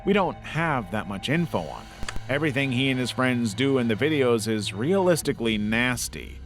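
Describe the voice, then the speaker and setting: A man narrating a scripted documentary-style piece in a measured, serious way. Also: medium pitch, sing-song. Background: background music.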